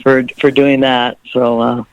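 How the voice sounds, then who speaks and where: A musician guest talking in a rambling, laugh-filled way during a radio interview. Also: male voice, mid-range voice, flat delivery, unhurried, smooth, no echo, muffled recording. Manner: rambling, laughs often, warm storytelling. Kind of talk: interview chat.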